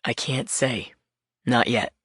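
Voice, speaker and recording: A woman reading aloud, doing a husband with a deep, low voice, soft and apologetic, asking to be trusted. He sounds close and dry, with no room around him. The read is a storybook audiobook. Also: slow, breathy edge, dry recording, heavily acted. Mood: guarded. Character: troubled husband, middle-aged man, pleading and secretive.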